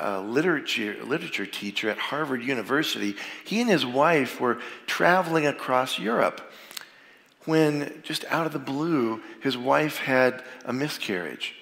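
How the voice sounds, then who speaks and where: A man's voice: mid-range voice, gravelly, a bit bright. A male pastor getting over a cold, sounding a little froggy, giving an informal sermon and prayer with frequent fillers. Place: church sanctuary. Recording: long reverb of a large hall.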